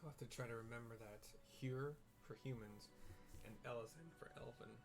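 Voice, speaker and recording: A man's voice: medium pitch, brisk, music in the background, poor audio. A young man playing a video game and describing the scenes aloud for blind viewers, hesitant with many fillers and restarts. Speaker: gamer and audio describer. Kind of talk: casual let's-play with audio description.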